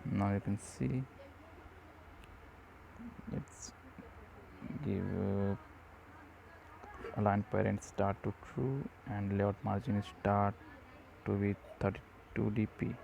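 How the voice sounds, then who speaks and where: Slow, flat, gravelly male tutorial voice. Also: lowish voice, a little hiss or hum, low-quality recording. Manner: slow, flat dictation of code steps with "uh" fillers. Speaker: coding tutorial presenter. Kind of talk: screen-recorded coding walkthrough.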